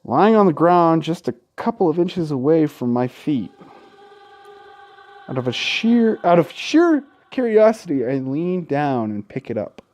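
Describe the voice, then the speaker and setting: A man doing gaming commentary, reading a visual novel aloud with casual, sweary asides and an expressive, dramatic delivery. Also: on the high side, measured pace. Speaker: let's play host. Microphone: close gaming microphone.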